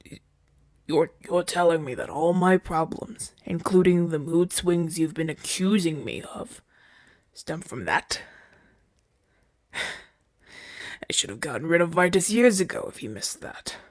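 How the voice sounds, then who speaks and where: A man playing a proud, wounded gladiator champion in an ASMR audio roleplay, speaking in a weary, halting, breathy way with gruff bluster. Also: a little high, lively intonation, dry recording. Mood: wry, uneasy. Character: wounded male gladiator champion, brash, proud, trusting his doctor.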